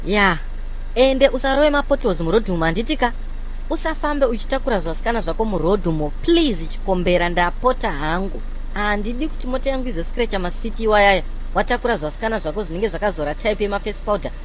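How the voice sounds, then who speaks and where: A fussy, high-voiced, fast-talking car owner. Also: male voice, animated, slight background noise. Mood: fussy, pleading. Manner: nagging, lecturing, pleading. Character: fussy, demanding man, nervous car owner lending his prized car. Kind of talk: comedy cartoon dialogue in Shona mixed with English.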